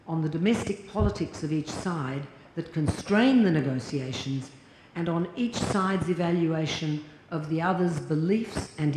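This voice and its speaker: Woman in her sixties, measured lecturer reading from notes, roomy hall, noisy capture. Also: fairly low pitch. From Australia. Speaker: keynote lecturer. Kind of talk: formal read lecture. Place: large lecture hall at a podium. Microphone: lapel mic and podium mic. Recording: echoey hall, audible noise.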